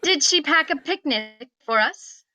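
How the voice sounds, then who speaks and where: A woman's voice: high-pitched, animated, measured pace, sharp tone, dry recording, heavily acted. A woman playing a haughty, bossy, petulant young princess in a comedic script read, with an imperious, commanding manner. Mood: curious.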